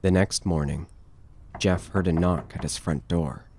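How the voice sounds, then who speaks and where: A man's voice: low-pitched, fairly even intonation, measured pace, a little mellow, a little hiss or hum. A man reading scripted narration for a horror audio drama.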